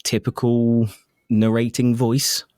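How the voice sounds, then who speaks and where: A man's voice: mid-range voice, completely flat delivery, takes it slowly, a little mellow, no room sound, studio quality. A man who narrates audiobooks, chatting casually as an interview guest in a self-deprecating, jokey and sometimes sweary way.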